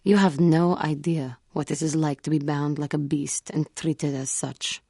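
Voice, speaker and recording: An actress playing a proud but guilt-haunted female feline alien warrior in a video game, speaking formally and earnestly, with halting care. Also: low voice, fairly even intonation, mellow, breathy edge, no room sound, clean studio sound, full character voice. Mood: bitter, sorrowful. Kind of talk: scripted video game character dialogue.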